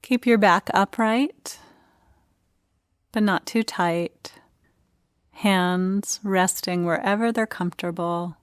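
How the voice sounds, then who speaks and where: A woman leading a guided meditation, speaking in short, gentle phrases with unhurried pauses. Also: mid-range voice, clean tone, dry recording, clean studio sound.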